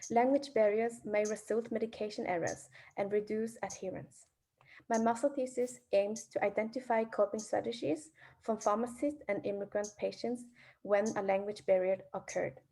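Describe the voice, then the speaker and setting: A young woman from Vietnam, presenting her research in a careful, measured way. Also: mid-range voice, fairly even intonation, vocal fry, dark tone. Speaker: student presenter.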